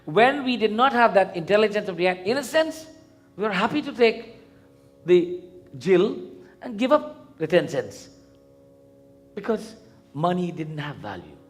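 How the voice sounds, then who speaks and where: High-voiced Guyanese man preaching, music behind. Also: sing-song. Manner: didactic, questioning, deliberate repetition. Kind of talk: interactive sermon. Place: live event in a temple before a congregation.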